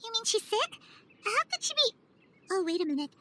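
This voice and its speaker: A woman voicing a small, childlike flying fairy companion in a video game, excitable and dramatic, speaking of herself in the third person. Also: very high voice, fairly quick, slight background noise, full character voice. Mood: concerned, puzzled. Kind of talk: video game cutscene voice acting. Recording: music behind the voice.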